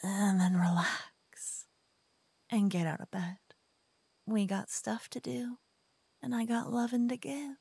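A woman's voice: medium pitch, little pitch movement, takes it slowly, vocal fry, long pauses, husky, dry recording. A woman playing a teasing whispering lover. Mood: playful teasing. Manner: playful, teasing, soft whispering. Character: cocky playful young woman, a devoted lover waking her partner. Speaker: ASMR roleplay performer. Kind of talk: whispered ASMR roleplay. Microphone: binaural mic.

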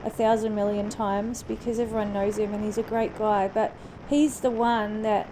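A woman's voice: medium pitch, flat delivery, moderate pace. A woman in her late thirties from New Zealand, raised in Australia, with a mixed New Zealand and Australian accent, chatting casually with plenty of ums and restarts while riding a motorcycle. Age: late 30s. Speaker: motorcycle vlogger. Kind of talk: casual chat to camera. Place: riding a motorcycle on an open country road. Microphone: helmet-mounted action camera. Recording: engine and wind noise on a helmet cam. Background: motorcycle engine and wind noise.

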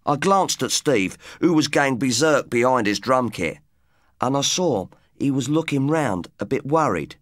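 A man's voice: medium pitch, lively intonation, a little slow, no echo, studio quality, natural voice. A man voicing an earnest, wistful, washed-up rock musician in his late twenties, as audiobook dialogue. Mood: earnest, haunted.

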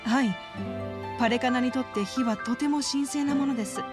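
A woman playing a gentle, devout caretaker at a religious children's home in a game, speaking politely and measuredly. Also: high-pitched, moderate pace, over music, natural voice. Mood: earnest, composed. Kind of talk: scripted Japanese video game dub.

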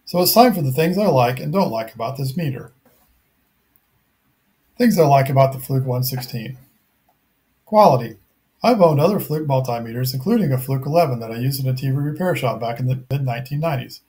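Middle-aged man, medium pitch, scripted review narration, methodical, matter-of-fact. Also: animated, brisk, darkish tone. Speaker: product reviewer.

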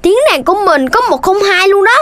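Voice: very high-pitched, expressive, a little fast, sharp tone, full character voice. An actor voicing a dreamy, boastful little boy puppy who shows off and exclaims in a children's cartoon. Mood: proud, boastful. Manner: exclaiming, showing off, whiny when thwarted. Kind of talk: children's cartoon dubbing in Vietnamese.

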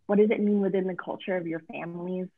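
A woman moderating a virtual author panel, speaking in an effusive, measured way over a video call. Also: on the low side, steady tone. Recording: tinny phone-like video call audio.

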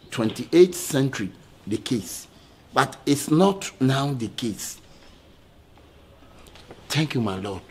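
Slow, husky, bumbling male lawyer. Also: medium pitch, a little mellow. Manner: halting, repetitive, pleading. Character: bumbling, apologetic male lawyer addressing a judge. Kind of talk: comic courtroom skit acting.

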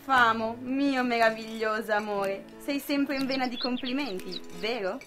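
A young woman plays a sharp-tongued wife, sardonic and scolding. Her voice is fairly high, in amateur radio play style, with music clearly behind it. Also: a little slow, close to an everyday voice. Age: young adult. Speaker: student actor. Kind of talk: amateur radio drama acting.

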